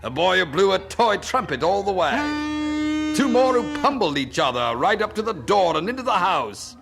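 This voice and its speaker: Creaky, animated male storyteller. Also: high-pitched, normal speed, loud music behind the voice. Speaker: narrator. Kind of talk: dramatic scripted storytelling for radio.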